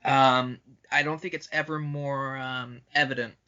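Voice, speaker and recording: A man speaks with a bright, forceful edge at an average pitch, arguing bluntly and swearing freely as he co-hosts a casual film podcast. The recording is slightly dull and lo-fi. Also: fairly even intonation, unhurried. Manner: blunt, profane, opinionated. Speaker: podcast co-host.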